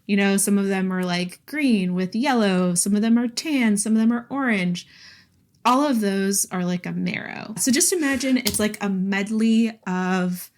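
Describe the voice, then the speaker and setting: A woman co-hosting a podcast, chatting about books in an informal, animated way full of "like". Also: medium pitch, a little slow. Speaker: podcast co-host. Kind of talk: casual book discussion chat.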